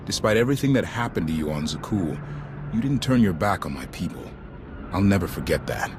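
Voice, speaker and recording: Lively, lowish-voiced roguish pilot. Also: male voice, a little fast, background noise. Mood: sincere, wry. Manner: casual, expressive. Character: loyal, easygoing roguish male starship pilot. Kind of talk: video game drama acting.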